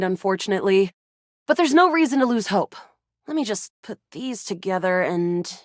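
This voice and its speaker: A woman's voice: medium pitch, a bit bright, no room sound, clean studio sound, lightly acted. A woman voicing a cocky young female space soldier in a video game, brash, dramatic and self-assured. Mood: upbeat. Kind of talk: video game character dialogue.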